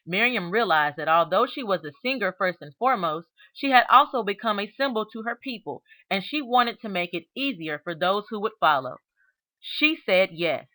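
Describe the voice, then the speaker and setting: A woman's voice: mid-range voice, pushed and bright, low-quality recording. Woman reading a storybook aloud, expressively. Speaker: reader of a children's book aloud. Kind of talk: read-aloud storybook narration.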